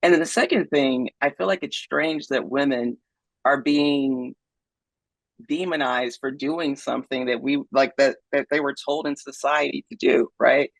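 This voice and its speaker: A man speaks in a gravelly, slightly husky voice, recorded close and dry. He talks casually as an interview guest, often tagging his points with a quick "right?". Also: medium pitch, steady tone, dry recording, low-quality recording. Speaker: podcast guest, investor. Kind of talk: conversational interview.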